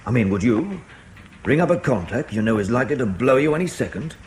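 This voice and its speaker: A man playing a dogged, controlled intelligence officer in a radio drama, questioning in a measured, pointed way. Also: medium pitch, expressive, darkish tone, slight background noise, lightly acted. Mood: brisk, probing.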